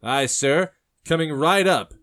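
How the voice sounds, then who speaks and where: A sly tavern barkeep from a historical storybook played by a male narrator, his voice pitched well up from a deep natural register. He is eager to please and wheedling, always angling for another coin. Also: slow pace, fairly bright tone. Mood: eager, welcoming.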